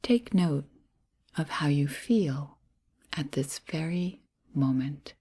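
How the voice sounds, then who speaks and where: A woman guiding a breath and body scan meditation in a soft, breathy voice, soothing and gentle, pausing between short phrases. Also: low-pitched, expressive. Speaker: meditation guide.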